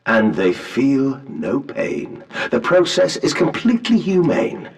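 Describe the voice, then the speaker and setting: An actor playing a cultured, sceptical professor in a period horror game, measured, formal and questioning. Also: male voice, medium pitch, sing-song, vocal fry, exaggerated voice. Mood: probing, composed. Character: cultured, sceptical male professor, probing and composed. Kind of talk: scripted period drama dialogue in a horror game. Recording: distant, echoing old phonograph-style recording.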